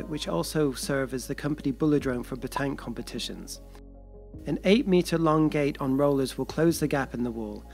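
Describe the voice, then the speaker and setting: A man reading scripted voice-over narration in a steady, explanatory way. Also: on the high side, creaky.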